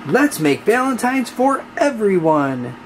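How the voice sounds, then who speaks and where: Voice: medium pitch, very animated, slow, slight background noise, voice pushed up. A storybook reader voicing a young female cat who is upbeat, insistent and reassuring to a friend. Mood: enthusiastic.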